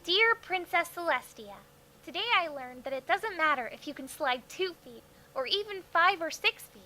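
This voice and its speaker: A woman voicing a neurotic, self-absorbed young female pony in a parody cartoon, theatrical and sometimes deadpan. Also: extremely high pitch, normal speed, full character voice, low-quality recording. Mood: flat, strained. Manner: theatrical, swings between panic and deadpan. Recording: cartoon soundtrack with music underneath. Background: background music.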